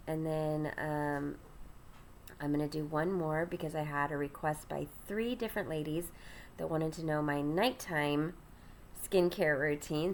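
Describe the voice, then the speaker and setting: A woman's voice: low voice, a little hiss or hum. Stuffy, congested woman chatting. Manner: chatty, rambling, many ums and restarts; talks while doing her makeup. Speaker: ministry host. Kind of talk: casual get-ready-with-me chat.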